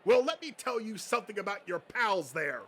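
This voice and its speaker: A man's voice: sits high, very animated, moderate pace, fairly bright tone, slight background noise. A man voicing a foul-mouthed, bitter, whiny comic book nerd, agitated and melodramatic, in an amateur dub. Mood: defensive anger.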